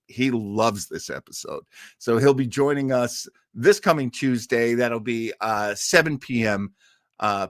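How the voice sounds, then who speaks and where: A man's voice: mid-range voice, fairly bright tone, no echo, clean studio sound. An American man hosting a live show in a casual, chatty way, joking and rambling off into asides as he talks to his viewers. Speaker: live stream host of an old-time radio show.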